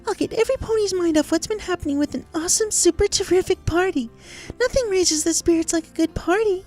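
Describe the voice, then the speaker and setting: A haunted, desperately upbeat girl pony from a cartoon fan story. The voice is extremely high and earnest, narrating a diary with faint music underneath. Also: quick pace, darkish tone. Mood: hopeful, excited. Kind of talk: dramatized diary reading of fan fiction.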